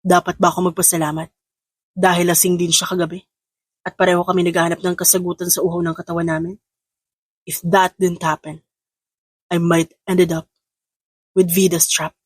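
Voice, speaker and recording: Woman putting on a smug, lower-pitched young businessman. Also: level tone, moderate pace, long pauses, breathy edge, dry recording, studio quality. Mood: smug musing. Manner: smirking, amused, teasing.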